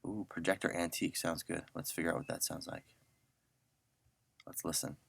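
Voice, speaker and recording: A man's voice: low voice, steady tone, moderate pace, gravelly, a bit bright, husky, no echo. A man giving a casual audio editing tutorial, informally muttering to himself as he works. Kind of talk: casual screen-recorded software tutorial.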